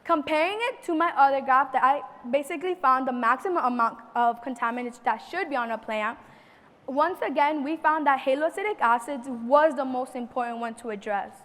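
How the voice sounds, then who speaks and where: A woman's voice: sits high, fairly quick, fairly bright tone. A teenage girl student earnestly presenting her science research project, reading from her slides with some restarts. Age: teens. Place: large convention hall. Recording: long reverb of a large hall.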